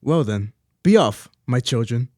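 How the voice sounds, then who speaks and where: Young man playing a mellow, dignified older father. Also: mid-range voice, expressive, a little slow, no room sound, clean studio sound, lightly acted. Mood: proud, fond. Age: young adult. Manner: formal, paternal. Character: Victor's grieving, protective, dignified older father. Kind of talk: student radio play acting.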